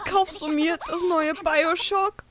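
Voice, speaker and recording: A woman's voice: very high-pitched, fairly even intonation, takes it slowly. A woman voicing a young, sassy, whiny pony princess with a theatrical, teasing manner in a fan audio drama. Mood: tearful, pleading. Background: background music.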